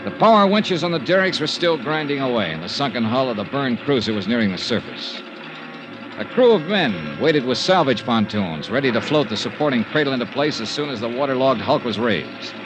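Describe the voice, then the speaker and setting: An American man acting a dry, wry hard-boiled insurance investigator in a 1950s radio detective drama, with clipped, deliberate delivery. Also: mid-range voice, very animated, natural voice, rough recording. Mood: grave, suspenseful. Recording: vintage radio recording with music behind.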